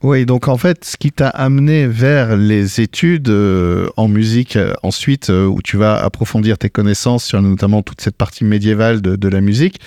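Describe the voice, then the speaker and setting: A man's voice: on the low side, moderate pace, hardly any pauses, dark tone, clean tone, dry recording, clean studio sound. A male radio host running a morning interview in a friendly way, with many fillers. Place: radio studio.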